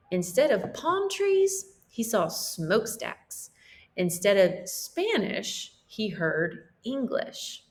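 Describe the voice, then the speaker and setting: Female schoolteacher for children's read-aloud, slow delivery, mid-range voice, simple explanatory style. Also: medium pitch. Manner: explains simply, checking in with listeners. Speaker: teacher reading aloud to pupils.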